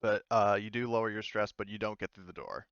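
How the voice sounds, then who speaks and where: A man's voice: lowish voice, fairly even intonation, quick pace, a bit bright, clear, resonant tone, no room sound, highs cut off. A man acting as game master, narrating a tabletop game casually and hesitantly as he thinks his rulings through aloud.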